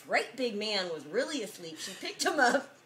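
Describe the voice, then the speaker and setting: Woman doing a mock dramatic kids book reading, pitch all over the place, jokey comments, noisy home video sound. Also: sing-song, normal speed, fairly bright tone. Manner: jokey, giggly, sardonic commentary. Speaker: reader of a picture book. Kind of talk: mock-dramatic storybook reading with amused asides.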